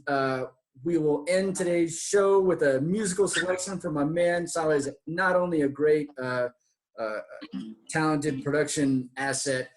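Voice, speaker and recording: American man, slightly high voice, host, casual interview chat, informal slangy talk, exclamations. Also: rough recording. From San Francisco Bay Area, US.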